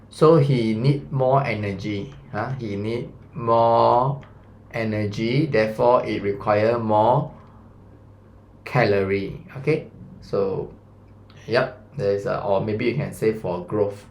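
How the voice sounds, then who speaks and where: Malaysian guy in his 30s teaching science, lowish voice, roomy echo, lots of lah. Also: slow pace. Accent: Malaysian English. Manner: chatty, asks students questions, fillers like lah and mah. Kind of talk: classroom revision lesson.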